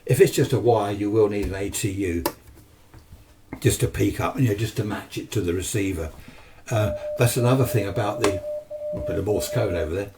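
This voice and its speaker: British man, lowish pitch, chatty unscripted vlog style, rambling, matter-of-fact, faint background noise. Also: moderate pace. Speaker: amateur radio hobbyist presenting. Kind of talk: casual unscripted hobby vlog. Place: home radio shack, indoors. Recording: distant microphone, noisy room ambience.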